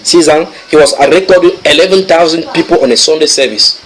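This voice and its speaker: A man preaching a sermon to a congregation, reading English passages aloud and translating them with lively asides to his listeners. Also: a little high, fairly quick, poor audio. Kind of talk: sermon teaching.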